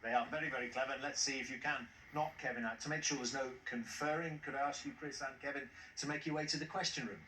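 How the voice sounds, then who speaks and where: A man hosting a television quiz show, jovial and quick to chuckle at the contestants. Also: medium pitch, steady tone. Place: TV studio. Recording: echoey studio, mic at a distance, faint hiss.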